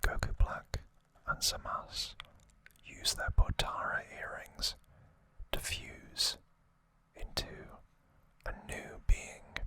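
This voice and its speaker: Slow, breathy ASMR whisper. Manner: soft, rambling, unscripted commentary with opinions. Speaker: ASMR host. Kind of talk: whispered ASMR trivia recap.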